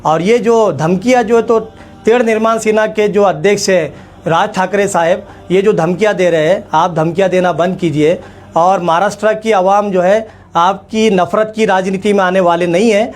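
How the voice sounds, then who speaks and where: A man speaks in a notably high-pitched voice. He delivers a formal public statement as a leader would, with emphatic phrasing and pointed repetition. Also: steady tone, normal speed, faint music behind. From India. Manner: emphatic, repeats phrases for effect. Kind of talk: formal political address to camera.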